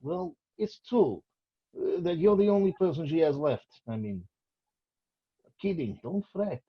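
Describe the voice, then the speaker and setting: A man's voice: on the high side, expressive, a little slow, breathy edge, exaggerated voice. A man reading the part of a scruffy, teasing, reckless 28-year-old boyfriend in an amateur script table read. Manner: flippant, needling. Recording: dull lo-fi recording.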